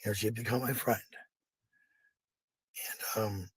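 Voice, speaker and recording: A middle-aged man with a gravelly, husky voice talking casually to viewers in a blunt, profane, rambling monologue, repeating phrases for emphasis. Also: fairly low pitch, fairly even intonation, a little slow, no echo.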